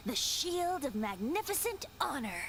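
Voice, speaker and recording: High, lilting young male bard. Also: very animated, measured pace, heavy background noise, full character voice. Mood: grand, persuasive. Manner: poetic, theatrical storytelling flourishes. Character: cheerful, whimsical young male bard, playful and theatrical. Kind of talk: video game character dialogue. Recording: music behind the voice.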